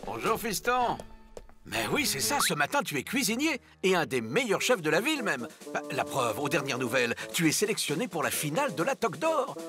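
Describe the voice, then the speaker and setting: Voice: mid-range voice, very animated, fairly quick, over music, natural voice. An actor voicing a genial, encouraging adult authority figure in a cartoon. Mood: genial, announcing. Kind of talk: cartoon voice acting.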